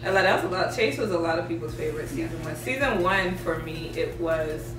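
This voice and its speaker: A woman's voice: sits low, a little fast, background noise. A woman chatting casually as a show's writer in a group interview. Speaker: show writer. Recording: roomy, distant mic, music underneath.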